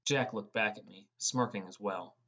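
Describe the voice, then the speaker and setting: A man's voice: on the low side, moderate pace, pushed and bright, dull audio. A man reading first-person fantasy narration as an audiobook narrator. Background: music.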